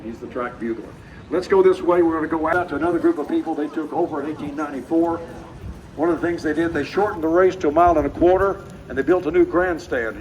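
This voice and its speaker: A man, hoarse from a cold, giving a folksy guided walking tour and repeating his key points. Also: on the high side. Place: outdoors at a racetrack with a tour group. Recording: distant voice, outdoor noise.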